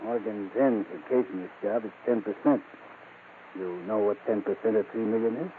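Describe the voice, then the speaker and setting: A low-pitched American gangster boss acted by a man talks tough and calculating, in slangy radio crime drama style. The sound is narrow and tinny, with clear background noise. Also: normal speed, lightly acted. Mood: cold, scheming. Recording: noisy, tinny old radio recording.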